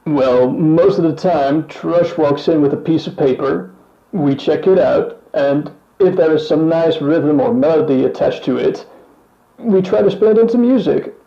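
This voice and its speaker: A man's voice: on the high side, a little slow, creaky, mellow, reverberant, highs cut off. A man playing a band member in a scripted mock interview, speaking in a casual, offhand way.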